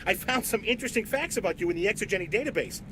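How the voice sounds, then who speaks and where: An actor playing an agitated, menacing corporate villain who is cornered and volatile, in a video game. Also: male voice, high voice, expressive, very fast, noisy background. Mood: tense, threatening. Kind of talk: dramatic video game acting.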